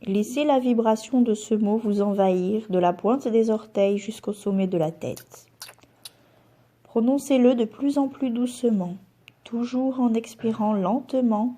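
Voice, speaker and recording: A woman guiding a relaxation meditation as a yoga nidra instructor, giving calm, soothing, measured instructions with pauses between them. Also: on the high side.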